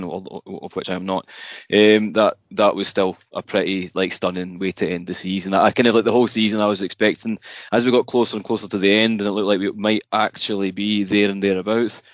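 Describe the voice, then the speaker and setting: A young Scottish man talks casually as a podcast guest, his low voice tumbling through fillers and restarts. The sound is dry and a bit dull, with no room around it. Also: dry recording. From Scotland. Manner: chatty, many restarts and fillers.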